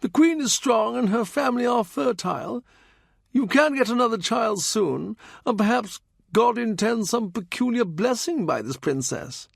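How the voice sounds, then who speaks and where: A man voicing a gentle, anxious archbishop with a tentative, pleading manner in an audiobook. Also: very high voice, expressive, normal speed, creaky, breathy edge, no room sound, clean studio sound, voice pushed up, full character voice. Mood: earnest reassurance.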